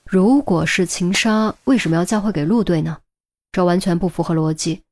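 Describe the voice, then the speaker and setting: A woman's voice: fairly low pitch, moderate pace, a little mellow, slight background noise, lightly acted. A woman voicing a firm senior policewoman in an audiobook, with an authoritative, no-nonsense manner. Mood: analytical. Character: firm, brisk senior policewoman, adult, exasperated mentor.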